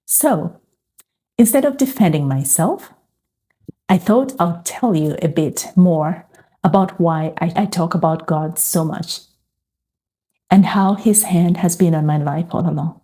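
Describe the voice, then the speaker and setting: A middle-aged woman tells her story in a calm, earnest way. Her voice is low and mellow. She speaks slowly and reflectively. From Uganda. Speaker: faith and lifestyle vlogger. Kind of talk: personal testimony to camera.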